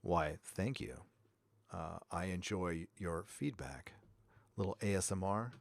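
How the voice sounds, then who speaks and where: A middle-aged man from Southern California hosting a livestream, chatting casually and reading donations, loud and animated, swinging from mumbles to shouts. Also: deep voice, slow pace, gravelly, no echo. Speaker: livestream host.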